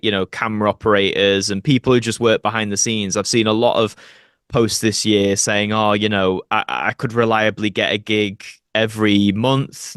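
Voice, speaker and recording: A man's voice: fairly low pitch, a bit bright, smooth, dry recording, studio quality. A British man talking casually as a podcast guest, explaining things in an earnest, conversational way. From United Kingdom.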